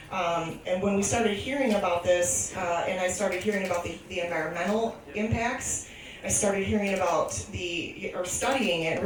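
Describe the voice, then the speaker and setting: Earnest woman speaking in an echoey hall. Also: medium pitch. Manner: earnest, emphatic, lists points in rhythmic series. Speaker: industrial hygienist speaking on a panel. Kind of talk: public forum talk. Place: large public hall. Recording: echoey hall, heard from a distance, faint noise.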